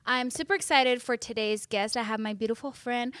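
A woman's voice: on the high side, steady tone, brisk, a bit bright, clear, resonant tone, no room sound. A woman hosting a casual interview podcast with an effusive, gushing manner, sometimes mixing in Spanish phrases. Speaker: podcast host.

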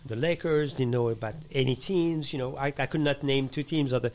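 A man's voice: mid-range voice, brisk. Older man, searching for words. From born in Morocco, raised in Paris. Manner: searching for English words, quiet and trailing. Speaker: guest, fashion designer. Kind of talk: casual interview chat.

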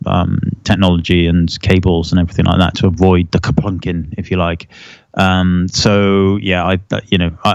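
A middle-aged man with a very low voice chats loosely on a podcast, circling his point with fillers and restarts. The sound is dry, close and a touch dull and lo-fi. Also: clear, resonant tone, no room sound. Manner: relaxed, rambling, many fillers and restarts. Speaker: wedding photographer and podcast co-host. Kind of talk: casual chat. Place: home office.

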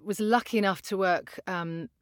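A woman from the UK, a voiceover artist, talking in a chatty, casual way with a low voice, laughing often and restarting her sentences. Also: normal speed, dry recording, clean studio sound. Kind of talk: casual interview chat.

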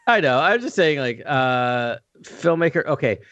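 A man's voice: medium pitch, animated, moderate pace, fairly bright tone, lo-fi sound. A man on a podcast panel in a casual review discussion, earnest and rambling as he thinks aloud.

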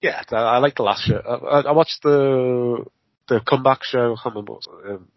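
A man's voice: medium pitch, a little fast, dry recording. A man in his forties interviewing a wrestler in casual chat, stumbling over repeated words and laughing often. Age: 40s. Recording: dry, dull lo-fi audio.

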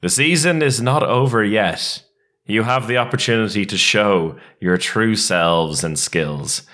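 A man doing a melodramatic dramatic reading as an outraged sports fan, slow and emphatic. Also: on the low side, sharp tone, voice pushed up. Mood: stern. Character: outraged sports fan group declaiming an open letter.

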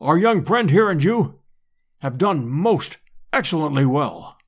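A man's voice: fairly high pitch, sing-song, measured pace, breathy edge, heavily acted. A man voicing a pompous, easily offended professor in an adventure audiobook, with orotund, formal diction. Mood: pompous, grateful. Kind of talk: dramatised audiobook character reading.

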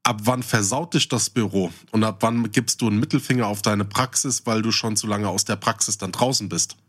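A man's voice: lowish voice, a little fast, a bit bright. A man chatting casually as a podcast co-host, questioning and challenging the other speaker. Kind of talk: casual chat, probing discussion.

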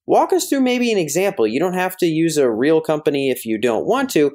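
A man's voice: a little high, big pitch swings, a little fast, a little mellow. An American man hosting a conversational interview, wordy and informal, thinking aloud as he rephrases things. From United States. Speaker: podcast host interviewing a guest.

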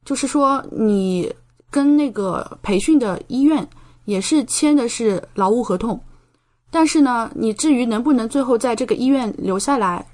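A woman's voice: on the high side, a little mellow. A young woman from China, a podcast guest, explaining things in a conversational interview style. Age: young adult.

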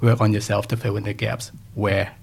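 Gravelly, husky man from Thailand. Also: lowish voice, flat delivery, moderate pace. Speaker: podcast guest. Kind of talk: casual interview conversation.

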